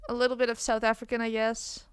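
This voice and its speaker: A woman's voice: on the high side, completely flat delivery, fast, clean tone, no echo, clean studio sound. A young woman with a Dutch accent, co-hosting a podcast in casual, teasing, argumentative chat. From Netherlands. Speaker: podcast co-host.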